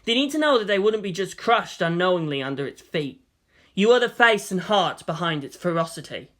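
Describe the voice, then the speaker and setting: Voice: mid-range voice, sing-song, fairly quick, a bit bright. A narrator reading scripted fiction in a dramatic storytelling style.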